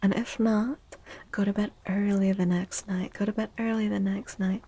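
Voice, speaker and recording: Soft breathy girl doing asmr stream chat, super chill and mellow, kinda whispery. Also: mid-range voice, flat delivery, moderate pace. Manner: very chill, drowsy, often dropping to a whisper. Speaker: live stream host.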